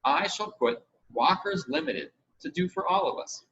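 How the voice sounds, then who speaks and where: Man reading as a crisp, practical young girl. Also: high-pitched, sing-song, normal speed, fairly bright tone, pitched above the performer's own voice, heavily acted. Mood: smart, practical. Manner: crisp, businesslike. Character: smart, practical girl, first mate of the crew. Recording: muffled home recording with hiss.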